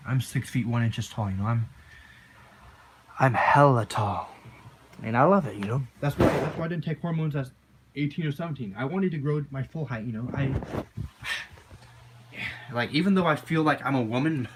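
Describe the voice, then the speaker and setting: A deep-voiced man talking casually to the camera in a personal vlog, rambling and filling his talk with constant "you know"s and repeated phrases. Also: moderate pace, long pauses, noisy background. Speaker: vlogger.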